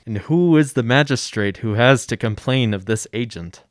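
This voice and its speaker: An actor playing a composed, guarded mayor in a radio drama, measured and restrained as he questions. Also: medium pitch, expressive, dry recording, clean studio sound, natural voice. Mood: courteous, puzzled. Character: composed, guarded male mayor hiding his past.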